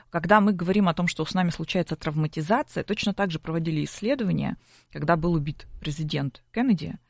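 A woman's voice: sits low, vocal fry, no echo, clean studio sound. A middle-aged woman explaining things as an expert guest in an interview, in a didactic way with rhetorical questions. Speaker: psychology expert guest on a broadcast.

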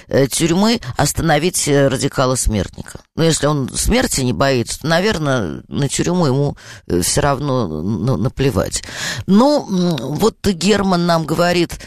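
A woman's voice: sits low, a little mellow, no echo, studio quality. A woman with a Moscow accent hosting a radio call-in show, hesitant, with many fillers and stuttered starts. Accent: Moscow Russian.

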